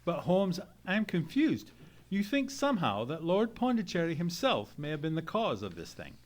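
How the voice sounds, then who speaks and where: An actor playing a loyal, sceptical Victorian man of science in an old-time radio mystery play, speaking in an earnest, measured, formal manner. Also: fairly high pitch, wildly swooping pitch. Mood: earnest, puzzled. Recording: noisy live theatre stage recording.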